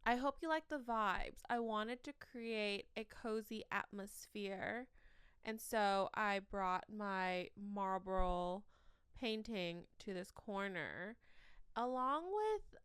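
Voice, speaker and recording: A young woman hosting a casual solo podcast from the corner of her bedroom, with a shrill voice, rambling and self-mocking between fillers, sleepy. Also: slow, fairly bright tone, clear, resonant tone, no room sound. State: sleep-deprived. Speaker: podcast host.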